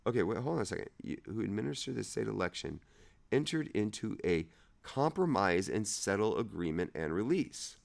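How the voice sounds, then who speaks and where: A man reading legal text aloud haltingly, rereading and correcting himself, with irreverent, offhand commentary of his own. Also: on the low side, sing-song, vocal fry. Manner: irreverent, sarcastic asides. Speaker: amateur reader of a legal document.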